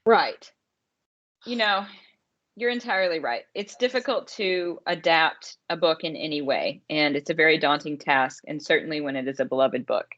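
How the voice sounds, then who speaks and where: A woman podcast co-host with a slightly low voice, chatting casually as in a movie review. She speaks emphatically, doubling up words for stress. The recording is a little dull and lo-fi. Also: normal speed, fairly bright tone. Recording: dull lo-fi audio.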